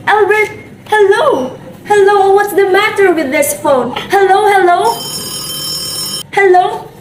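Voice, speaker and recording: Woman acting very high-pitched, fretful bedridden wife. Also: slow. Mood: agitated. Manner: fretful, indignant, dramatic complaining. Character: neurotic, imperious bedridden wife, frightened and demanding. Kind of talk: radio play acting. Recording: roomy, with background hiss.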